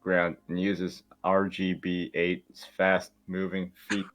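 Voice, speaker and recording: A man's voice: deep voice, monotone, slow, fairly bright tone, slight background noise. A man reading stage directions as narrator in an informal amateur script reading.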